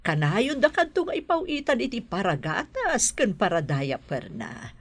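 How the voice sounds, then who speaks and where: Doting middle-aged grandmother, husky voice, very animated pitch, exclamatory, radio drama. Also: normal speed. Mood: tender, grave. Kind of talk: Ilocano radio drama acting.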